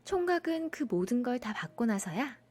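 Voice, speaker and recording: A woman narrating a children's bedtime folktale in an expressive storytelling style. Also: a little high, normal speed, breathy edge.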